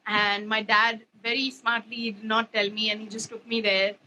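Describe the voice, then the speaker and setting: A woman in her twenties from India, a bassist talking casually in an interview, rambling with lots of fillers and "you know". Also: level tone, normal speed, pushed and bright, a little hiss or hum, low-quality recording. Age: 20s. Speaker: bassist guest.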